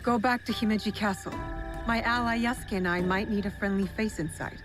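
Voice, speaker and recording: A woman playing a composed, determined young female shinobi, direct and measured, in a video game cutscene. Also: medium pitch, music in the background, lightly acted. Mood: persuasive, steady.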